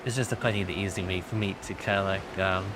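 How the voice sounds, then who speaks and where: Low-voiced man, halting livestream chat. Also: fairly even intonation, very noisy. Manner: halting, rambling. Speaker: streamer. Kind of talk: casual livestream commentary.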